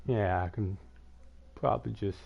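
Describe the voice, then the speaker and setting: A man's voice: fairly low pitch, fairly even intonation, unhurried, gravelly, slightly rough. Lisping young-sounding man streaming a game. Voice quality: slight lisp. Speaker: gaming streamer. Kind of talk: casual live gameplay commentary, chatting with viewers. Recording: dull lo-fi mic, music behind.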